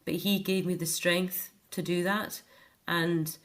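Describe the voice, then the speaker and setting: Woman, middle-aged, slightly low pitch, earnest, emphatic, reflective interview guest. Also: level tone, takes it slowly.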